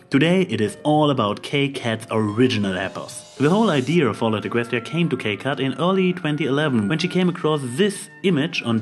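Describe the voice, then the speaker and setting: A man hosting a review, narrating from a script. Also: mid-range voice. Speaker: host, fiction reviewer.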